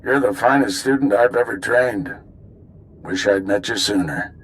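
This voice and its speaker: An actor playing an older, resolute mentor knight in a video game, speaking tersely with weary resolve. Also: male voice, fairly low pitch, unhurried, fairly bright tone, a little hiss or hum, low-quality recording. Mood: resolute, solemn. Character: resolute older male Jedi mentor, gruff and fond. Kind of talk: video game cutscene acting.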